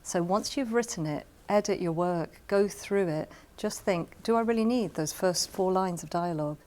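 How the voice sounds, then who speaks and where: A woman explaining her craft advice in an interview, with measured emphasis. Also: on the low side, darkish tone. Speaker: radio drama producer giving writing advice. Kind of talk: interview-style advice to camera.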